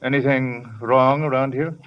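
An actor playing a chatty, folksy off-duty police sergeant and nosy neighbor in an old-time radio drama, rambling and colloquial. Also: male voice, mid-range voice, fairly even intonation, a little slow, lightly acted. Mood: affable. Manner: rambling, colloquial, chuckling, hesitant openers. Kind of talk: 1940s-50s old-time radio acting. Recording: dull old radio broadcast recording.

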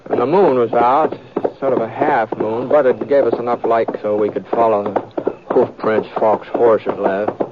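An actor playing an easygoing, modest western drifter with a hesitant, folksy drawl, in 1950s radio western style. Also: male voice, medium pitch, steady tone, normal speed, close to an everyday voice. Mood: calm narrating. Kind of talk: 1950s radio western acting and first-person narration. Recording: muffled, noisy, crackly old radio recording.

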